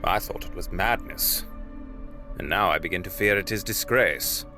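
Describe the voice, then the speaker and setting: An actor playing a grave, worried Victorian lawyer in an audio drama, with a gravelly voice and a formal, measured, brooding manner. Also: male voice, low-pitched, sharp tone, faint music behind. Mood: brooding, uneasy.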